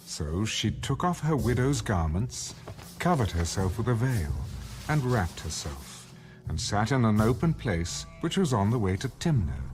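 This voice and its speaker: A man's voice: on the low side, big pitch swings, a little slow, a little mellow, faint music behind. A man reading scripture as the narrator of a dramatized audio Bible.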